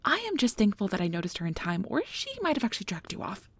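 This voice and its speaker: A woman playing a nervous, caring young magic researcher in an ASMR audio drama, rambling in a flustered, halting, apologetic way. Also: medium pitch, big pitch swings, slightly rough, no room sound. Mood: admiring, excited, then anxious, hesitant.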